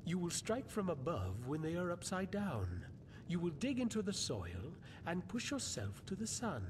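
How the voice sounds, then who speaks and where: A mock-courteous, prophetic game riddler. His voice sits fairly high and moves in lively, theatrical swings. There is clear rumbling noise behind him. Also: moderate pace. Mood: cryptic, solemn. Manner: portentous, theatrical. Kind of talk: video game voice acting.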